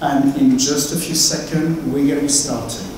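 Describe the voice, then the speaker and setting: A middle-aged man with a French accent, hosting a university event in a chatty, welcoming way with some halting fillers. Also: medium pitch, darkish tone, a little hiss or hum. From France. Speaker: host. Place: large lecture hall, indoors. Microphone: handheld mic, far camera. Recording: very echoey hall from far off.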